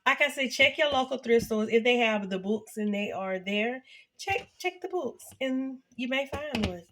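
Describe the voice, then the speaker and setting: A woman in her fifties, sounding congested and a little nasal, chatting casually to her viewers in a halting way with many fillers and restarts. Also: fairly high pitch, animated, fairly bright tone, a little hiss or hum. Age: 50s. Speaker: vlogger. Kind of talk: casual reflective talk to camera.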